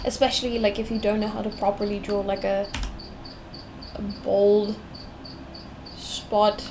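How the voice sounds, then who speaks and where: A woman's voice: mid-range voice, a little slow. A young South African woman with a mixed British and American-tinged accent, chatting and humming to herself as she draws on a live stream. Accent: mixed British and American-tinged English. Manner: chatty, hums to herself, meandering. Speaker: live-streaming digital artist. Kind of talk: thinking aloud while drawing on a live stream. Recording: steady noise with crickets behind.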